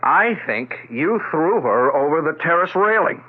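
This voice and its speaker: A man's voice: fairly high pitch, big pitch swings, measured pace. A man playing a grimly certain lawyer son in a 1970s radio drama, blunt and accusatory. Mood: grim, accusing. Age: adult. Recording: thin phone-like old radio broadcast.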